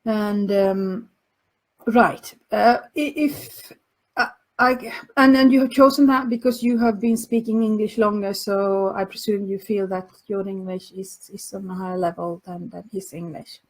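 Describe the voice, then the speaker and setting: A Finland Swedish woman hosting a parenting podcast, answering listener questions slowly with many fillers and restarts. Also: medium pitch, fairly even intonation, poor audio. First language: Finland Swedish dialect. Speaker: podcast host and language coach.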